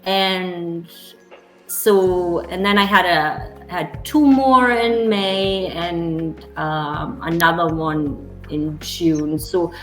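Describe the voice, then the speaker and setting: A woman's voice: lowish voice, steady tone, extremely slow, fairly bright tone, roomy sound, soft background music. A woman from Germany with a German accent, chatting casually as a real estate agent guest, full of "you know" fillers. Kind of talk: casual interview chat.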